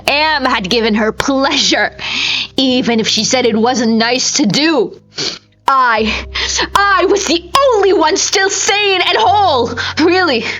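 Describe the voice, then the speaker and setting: A woman's voice: sits high, a bit bright. A woman narrating a first-person horror story in an intense, theatrical manner. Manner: intense, theatrical, swinging between whispers, cries and anguished outbursts. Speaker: audiobook narrator.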